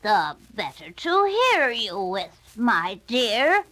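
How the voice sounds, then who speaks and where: Woman voicing a slow, sly storybook wolf. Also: fairly high pitch, very animated, bright, forceful tone, slight background noise, heavily acted. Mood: menacing, coaxing. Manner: drawn-out, coaxing delivery. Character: sly wolf, male, sweet-talking predator.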